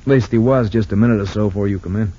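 An actor playing a folksy, excitable police lieutenant in an old radio drama. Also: male voice, lowish voice, racing, breathy edge. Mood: matter-of-fact. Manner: chuckling, exclamatory, folksy. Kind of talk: 1940s-50s radio drama acting. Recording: dull lo-fi old radio recording.

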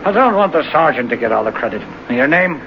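An officious, condescending police officer in old radio drama. His voice is slightly high with big swings in pitch. The recording is noisy and dated. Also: big pitch swings, quick pace, muffled recording. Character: brisk, patronising, dismissive policeman.

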